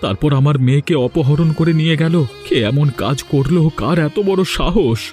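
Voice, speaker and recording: A man's voice: fairly high pitch, normal speed, a little mellow, lightly acted. A man voicing a devoted, worried village father in a melodramatic cartoon, earnest and reassuring. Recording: music behind the voice.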